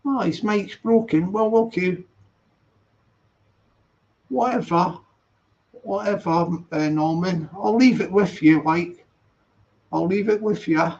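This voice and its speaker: Gravelly high-voiced man, rambling stream chat. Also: slow pace, long pauses, darkish tone. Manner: rambling, repetitive, reads viewer comments aloud. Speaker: live streamer. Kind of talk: casual live stream chat. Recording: dull lo-fi stream audio.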